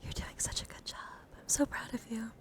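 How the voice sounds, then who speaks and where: A woman livestreamer giving a guided ASMR relaxation in a gentle, soothing, encouraging manner. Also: a little fast. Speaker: livestream host.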